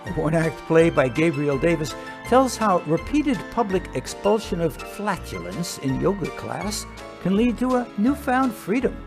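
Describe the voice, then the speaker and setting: A man's voice: high-pitched, sing-song, unhurried, music in the background. A man reading scripted introductions as master of ceremonies for a program of sketches.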